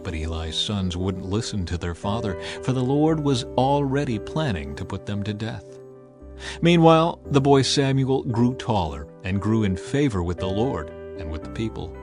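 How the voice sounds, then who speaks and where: A man narrating a dramatized scripture reading in a measured, solemn way. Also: fairly low pitch, animated, over music.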